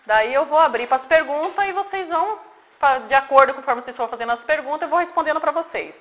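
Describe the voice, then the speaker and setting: A woman's voice: high-pitched, animated, fast talker, a bit bright, dull audio. A woman in her forties from Paraná, telling her life story to a group of children in a simple, friendly way and often checking that they follow. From Paraná, Brazil. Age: 40s. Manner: simple explanations with frequent checks like "tá?" and "né?". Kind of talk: informal talk with questions from an audience.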